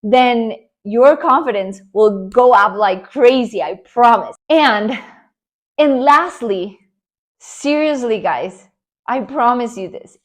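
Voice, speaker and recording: Motivational Colombian woman, rather high voice. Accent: Colombian Spanish. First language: Spanish. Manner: earnest, encouraging, emphatic with repeated promises. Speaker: online career coach. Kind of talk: motivational talk to camera.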